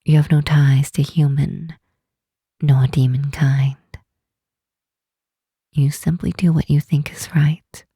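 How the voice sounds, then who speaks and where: A woman plays a powerful demon queen who is gentle, earnest and protective. Her low voice is very breathy and half-whispered, with a dark, mellow tone. She speaks slowly and tenderly, close and intimate, in an ASMR roleplay. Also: no echo, exaggerated voice. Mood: earnest, pleading. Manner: slow, tender, solemn, with deliberate pauses. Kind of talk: ASMR roleplay, soft intimate monologue to the listener.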